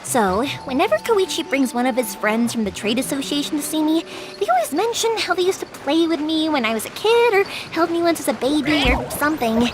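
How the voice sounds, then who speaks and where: A woman's voice: high-pitched, brisk, hardly any pauses, over music. A woman voicing a cheerful, chatty young fireworks maker in a video game. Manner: lively, chatty and warm-hearted storyteller.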